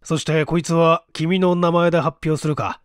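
A man's voice: on the high side, steady tone, moderate pace, smooth, no room sound, clean studio sound, lightly acted. A man voicing an eager, self-satisfied male writer who explains his odd story idea at rambling length.

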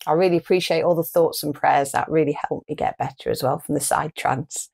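A woman's voice: deep voice, fairly even intonation, brisk, vocal fry, a little mellow, breathy edge. A woman in her fifties with a British accent, chatting casually as a co-host. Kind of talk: casual chat. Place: small furnished room, indoors.

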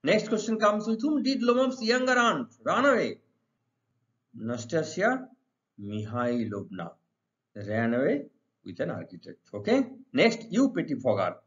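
A man's voice: on the high side, sing-song, a little slow. A middle-aged man with an Indian accent teaching an exam lesson, reading out questions and explaining the answers emphatically with repeated phrases. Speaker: English teacher. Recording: dull lo-fi recording.

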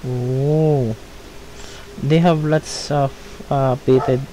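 Slow-talking playful gamer. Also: mid-range voice, steady tone, warm tone, slight background noise, poor audio. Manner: playful, reactive, fragmented remarks. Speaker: gaming video host. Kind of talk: casual let's-play commentary. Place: home room, no studio. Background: game music.

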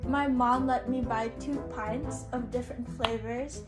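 Young female vlogger, high voice, informal chat, music playing underneath. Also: steady tone, unhurried. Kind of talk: casual chat. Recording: music behind the voice. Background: background music.

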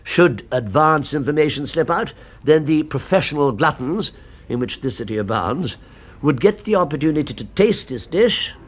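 An actor playing a solitary, fussy gourmet in a 1970s radio drama, speaking formally and pompously with precise diction. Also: male voice, fairly high pitch, expressive, normal speed. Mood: confiding, grave. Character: solitary, fussy male gourmet, solemn and devoted. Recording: 1970s radio recording with background noise.

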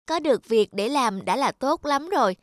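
A woman's voice: high-pitched, lively intonation, normal speed, no room sound. A woman voicing a polite, earnest, hardworking poor servant boy in a children's cartoon. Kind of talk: dubbed children's cartoon dialogue. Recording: loud music behind the voice.

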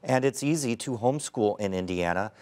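A man in his thirties anchoring a news program in a crisp, formal read. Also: on the low side, animated, brisk, a little mellow.

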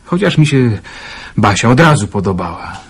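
An actor playing a calm young man in love who talks earnestly and teases gently in a radio drama. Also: mid-range voice, normal speed, slightly rough.

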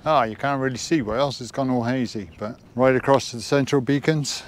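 A man's voice: medium pitch, fairly quick. Older Englishman chatting casually outdoors. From England. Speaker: outdoor vlogger. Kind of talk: casual chat to camera. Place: outdoors on open hilltop. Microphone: clip-on lapel mic.

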